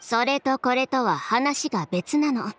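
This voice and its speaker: An actress playing a confident, sharp-tongued young fashion designer in a video game, brisk, matter-of-fact and dryly teasing. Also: female voice, sits high, lively intonation, exaggerated voice. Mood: assured, explaining. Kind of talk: video game voice acting in Japanese. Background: game music.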